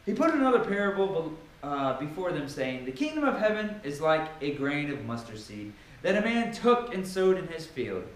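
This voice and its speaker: Very echoey recording, man, dramatic Bible reading, highly animated intonation, emphatic, theatrical pauses. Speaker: Bible reader. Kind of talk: dramatic scripture reading with informal asides. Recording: very echoey room with faint noise.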